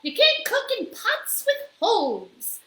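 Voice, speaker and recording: Squeaky storybook housekeeper, earnest and eager; a woman doing the voice, roomy echo. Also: huge pitch swings, takes it slowly, fairly bright tone, heavily acted. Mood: bubbly. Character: cheerful literal-minded woman, housekeeper running for mayor.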